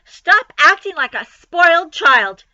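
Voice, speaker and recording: A woman voicing an excitable young male pig in a storybook reading, high, loud and pleading. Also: normal speed, no echo, lo-fi sound, exaggerated voice. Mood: frantic.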